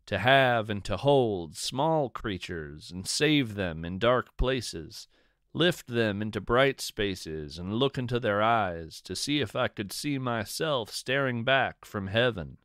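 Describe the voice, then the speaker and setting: Slow, expressive male storyteller. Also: fairly low pitch, no echo, clean studio sound. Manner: deliberate, measured, literary delivery. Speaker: podcast host and narrator. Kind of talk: scripted narration, poetry reading and storytelling.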